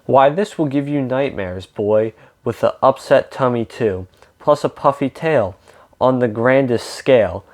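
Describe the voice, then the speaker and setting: A man's voice: medium pitch, unhurried, darkish tone, lightly acted. A man voicing an exasperated, bossy mother cat from a children's storybook, in an emphatic scolding manner. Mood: musing, warning.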